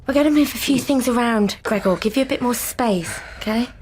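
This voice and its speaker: A woman's voice: fairly high pitch, fairly quick, breathy edge. A young woman playing a protective, exasperated sister who takes charge in a radio drama. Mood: concerned. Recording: loud music under the voice.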